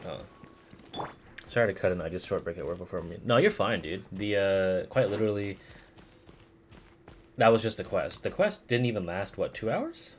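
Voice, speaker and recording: A man livestreaming a game, chatting casually in a rambling, halting way as he searches for words. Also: sits low, slight background noise. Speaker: game streamer. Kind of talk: casual livestream commentary, replying to chat.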